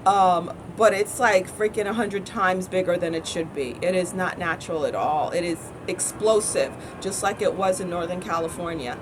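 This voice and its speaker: A woman's voice: on the low side, moderate pace, few pauses, noisy background. A woman spiritual teacher from the United States talks in a rambling, self-correcting way, full of earnest conviction, in a spiritual vlog monologue.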